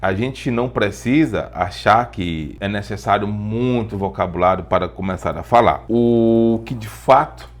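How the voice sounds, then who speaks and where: A man's voice: fairly low pitch, measured pace, hardly any pauses. Brazilian man teaching, explanatory tone. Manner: explanatory, repeats phrases for emphasis, rhetorical questions. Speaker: English teacher. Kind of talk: informal spoken lesson with personal storytelling.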